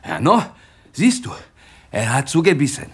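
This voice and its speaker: A man's voice: fairly high pitch, wildly swooping pitch, moderate pace, a bit bright, slightly rough, heavily acted. A man acting in a radio play as a shaken security guard who talks in terse, clipped sentences.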